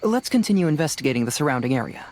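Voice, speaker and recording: A woman playing a calm, fearless young investigator in a video game, speaking in a methodical, matter-of-fact way. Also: low-pitched, very fast, a little hiss or hum. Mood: analytical.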